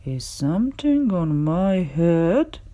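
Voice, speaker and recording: A fretful storybook elephant, played by a woman reading aloud, questions everything with exasperated emphasis. The voice swings expressively and sits lower than a bright narrator's. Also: very animated, takes it slowly, muffled recording, over loud music. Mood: alarmed, incredulous. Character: worried, exasperated elephant in a children's picture book.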